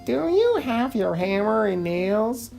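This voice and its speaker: A woman puts on a cheeky male cartoon duck. The voice is lifted in pitch and keeps asking persistently, in a storybook style over faint music. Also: big pitch swings, takes it slowly, creaky, mellow, full character voice. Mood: hopeful. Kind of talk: dramatic reading of a cartoon screenplay.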